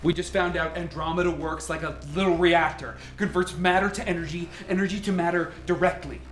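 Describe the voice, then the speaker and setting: An actor playing a commanding, urgent scientist who leads a research team in a radio drama. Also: male voice, sits high, fairly even intonation, fairly quick, a bit bright. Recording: roomy echo, music underneath.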